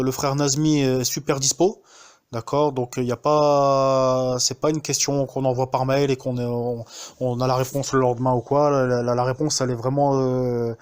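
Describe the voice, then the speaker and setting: Un homme français qui témoigne de manière spontanée, en hésitant souvent et en reprenant ses phrases. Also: male voice, medium pitch, a little mellow, clean tone. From France. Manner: hesitant, many fillers and restarts. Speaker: student giving a testimonial. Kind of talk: casual spoken testimonial.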